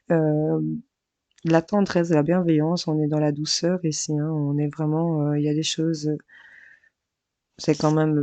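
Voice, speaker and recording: A hoarse woman losing her voice works through a daily tarot horoscope in a chatty, hesitant way, calling her viewers friends. Her delivery stays flat and even, with little rise or fall. The sound is dry and close, with no room echo, though the mic sits a little farther off. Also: sits low. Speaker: tarot card reader. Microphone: mic placed farther away.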